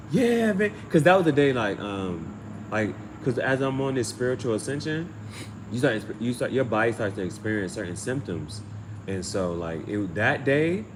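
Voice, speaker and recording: Guy chatting on a livestream, slangy, swears a bit, circles back on his words, noisy hum behind him. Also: medium pitch. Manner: slangy, profane, repeats phrases while thinking aloud. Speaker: amateur tarot reader and channel host. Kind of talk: casual livestream storytelling.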